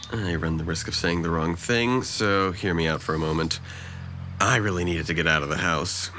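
A man's voice: low voice, moderate pace, pushed and bright, soft background music. A man playing a depressed, sardonic, clever young man in an audio drama, choosing his words precisely and sarcastically. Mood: dry, candid.

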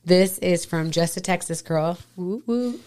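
A middle-aged woman co-hosting a podcast in casual chat, hoarse and raspy from a cold, chatty and quick to laugh. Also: fairly low pitch, a little mellow. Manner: laughs often, chatty and playful. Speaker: podcast co-host.

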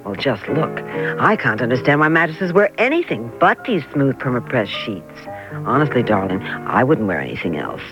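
A man's voice: mid-range voice, big pitch swings, normal speed, close to an everyday voice. A man voicing a vain, haughty talking mattress in a comic radio commercial, gushing in an affected manner. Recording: muffled radio broadcast, music behind.

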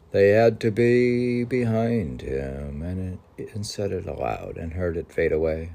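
A man's voice: sits low, gravelly, warm tone. A man reading poetry aloud and drifting into casual, musing asides in a conversational way. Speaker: poetry reader.

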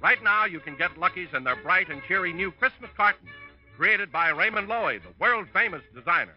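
A male radio announcer in the 1950s commercial style. His voice sits high for a man, and the vintage recording is dull with faint music. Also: lively intonation, fairly quick.

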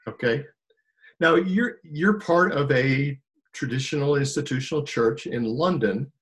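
A man hosts a faith-themed interview over a video call. His voice is mid-pitched, and he pauses often with ums and uhs as he introduces and questions his guests. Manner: hesitant, many ums and uhs. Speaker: host.

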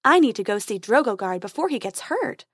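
A woman's voice: a little high, sing-song, fairly quick, husky, no echo, studio quality. A woman voicing a kind, capable tooth fairy dentist in a storybook, with a light, polite manner. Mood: concerned. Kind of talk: storybook character voice.

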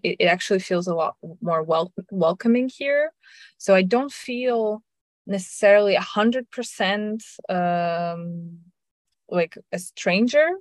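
A woman from Russia in her thirties, talking reflectively in a casual chat, with soft laughs and frequent restarts. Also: mid-range voice, no room sound. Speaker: guest sharing her story.

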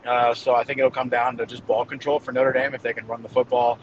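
Male football analyst, mid-range voice, halting and conversational predictions, muffled audio with faint noise. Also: mid-range voice, level tone. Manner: hesitant, thinking aloud as he goes. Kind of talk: casual game-prediction commentary.

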